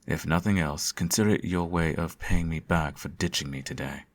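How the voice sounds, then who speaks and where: A man playing a teasing, doting supervillain in an intimate ASMR roleplay, suave and coaxing, with a gravelly, husky voice. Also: extremely low pitch, moderate pace. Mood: playful, gloating. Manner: suave, theatrical, coaxing, uses pet names. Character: teasing, doting male supervillain nursing his hero nemesis.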